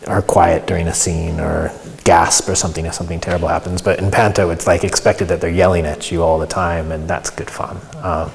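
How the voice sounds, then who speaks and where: A man giving casual interview answers in a halting way, as if thinking aloud, about his hobby as an amateur performer. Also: sits low, flat delivery, vocal fry. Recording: long echoing hall reverb.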